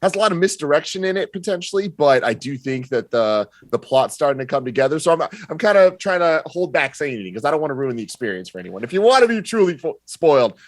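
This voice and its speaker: A man's voice: fairly high pitch, big pitch swings, fast, few pauses, no room sound, studio quality. A man talking casually as a podcast guest, explaining pop culture in an enthusiastic, nerdy way.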